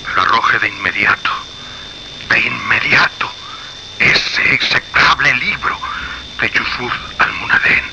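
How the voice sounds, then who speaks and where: An actor with a Castilian Spanish accent playing a menacing, indignant anonymous caller in a radio suspense drama, speaking in an imperious, emphatic, repetitive way. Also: male voice, medium pitch, measured pace, vocal fry, pauses often, very noisy. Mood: urgent menace. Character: menacing anonymous male phone caller, indignant guardian of secrets.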